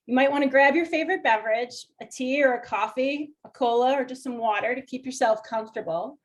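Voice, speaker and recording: A woman with a high, light voice opens an online workshop, talking over a video call. She is welcoming and gently instructive, and she walks people through each step. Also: a little fast. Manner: warm, inviting, gently instructive. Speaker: workshop facilitator.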